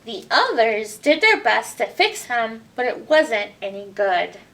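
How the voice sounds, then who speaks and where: A woman reading a picture book aloud to children as a library storyteller, expressive and often putting questions to her listeners. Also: on the high side, measured pace. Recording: distant mic with background noise.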